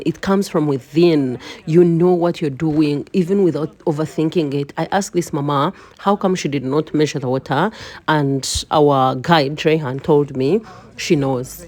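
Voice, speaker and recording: Casting: female vlogger, low voice, chatty and expressive home cook. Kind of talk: casual vlog narration.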